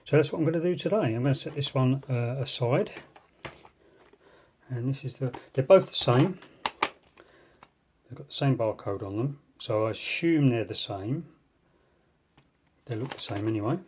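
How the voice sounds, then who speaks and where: A man's voice: medium pitch, animated, normal speed, pauses often, a little mellow, breathy edge. A man hosting an unboxing video with casual, unscripted commentary and dry, self-deprecating asides. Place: indoors at a tabletop. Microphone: phone filming the tabletop.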